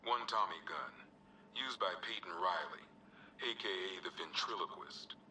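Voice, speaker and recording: An actor playing a gruff, world-weary American police officer, logging evidence for a video game in a terse, streetwise way with dry, wry asides. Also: male voice, low-pitched, moderate pace, sharp tone, distant mic, a little hiss or hum, poor audio. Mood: dry, matter-of-fact. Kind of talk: scripted video game evidence log narration.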